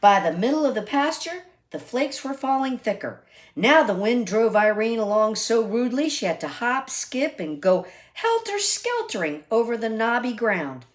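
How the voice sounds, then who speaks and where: A woman reading a children's picture book aloud expressively, deliberate and emphatic, with asides to listeners. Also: on the high side, very animated. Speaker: read-aloud storyteller.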